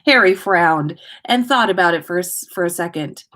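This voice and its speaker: A woman host reading fan fiction aloud in an expressive way, with small stumbles and self-corrections. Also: fairly low pitch, normal speed. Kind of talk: expressive story reading, livestream.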